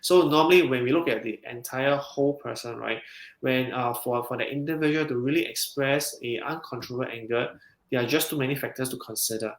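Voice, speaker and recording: A man, a counselling psychologist, explaining in a measured way in a podcast interview, with frequent restarts. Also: medium pitch. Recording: roomy echo.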